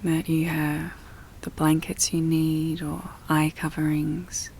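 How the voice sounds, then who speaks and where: A woman guiding a relaxation meditation in a soft, breathy, often near-whispered voice, soothing and full of long pauses. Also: low-pitched, little pitch movement, slight background noise. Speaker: yoga nidra meditation guide.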